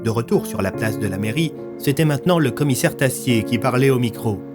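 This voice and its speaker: A man's voice: mid-range voice, expressive, brisk. Dramatic male storyteller over prominent music. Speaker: narrator. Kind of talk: dramatic scripted story narration. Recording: loud music bed.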